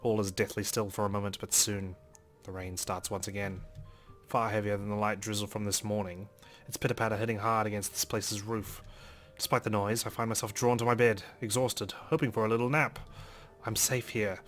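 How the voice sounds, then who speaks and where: Low-voiced man narrating a game story. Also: flat delivery, fairly quick, a bit bright. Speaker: let's play host reading a visual novel aloud. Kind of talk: dramatic first-person story narration. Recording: music under the voice.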